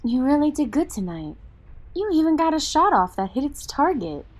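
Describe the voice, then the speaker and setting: A woman playing a tough, teasing female vigilante in an audio drama, with a husky, breathy voice and wry manner. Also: sits high, sing-song, moderate pace. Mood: warm teasing.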